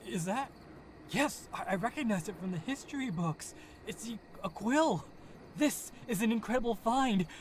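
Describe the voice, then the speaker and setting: A man's voice: high-pitched, animated, normal speed, slight background noise. A man voicing an eager scholarly colleague in a comic dub, gushing with enthusiasm. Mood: excited. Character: eager, excitable scholarly colleague called Charles.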